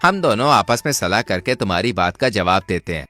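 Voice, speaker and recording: A man playing a scheming village swindler in a Hindi folk tale, expressive and theatrical. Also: lowish voice, fairly quick, faint music behind. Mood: troubled.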